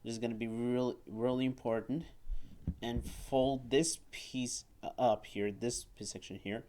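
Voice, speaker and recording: A man reviewing and demonstrating a toy at a table indoors, talking haltingly and often repeating words and phrases. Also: medium pitch, steady tone, warm tone, a little hiss or hum.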